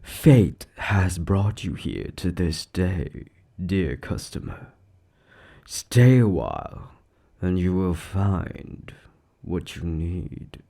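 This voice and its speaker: A man playing an upbeat, quirky boss of a mysterious shop, chatting casually in an animated, hesitant way in a roleplay audio. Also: low-pitched, extremely slow, creaky, exaggerated voice. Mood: mysterious, theatrical.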